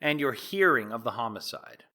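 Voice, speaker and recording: Man acting bright, animated prosecuting lawyer. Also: mid-range voice. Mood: probing, formal. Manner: precise, formal, deliberate questioning. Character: formal, insistent male prosecuting lawyer questioning a witness. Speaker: actor playing a prosecutor. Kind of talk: dramatic reading of a trial transcript.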